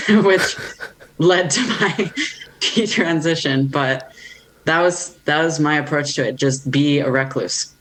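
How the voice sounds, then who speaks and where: A woman's voice: extremely low pitch, low-quality recording. A woman guest in an interview, telling her story in a wry, self-mocking way. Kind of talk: candid interview answers.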